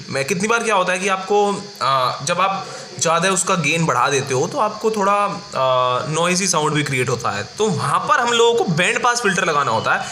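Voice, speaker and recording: Man, Indian accent, bright forceful tone, informal lecturing, tag questions, echoey room with faint noise. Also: high voice. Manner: didactic, often checking in with tag questions. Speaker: engineering tutor. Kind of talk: informal explanatory lecture mixing Hindi and English.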